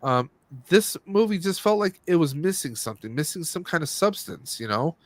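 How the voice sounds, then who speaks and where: A man's voice: fairly high pitch, very animated, normal speed, no echo. A man hosting a casual movie review stream, talking in a rambling, conversational way and often rephrasing himself. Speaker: podcast host.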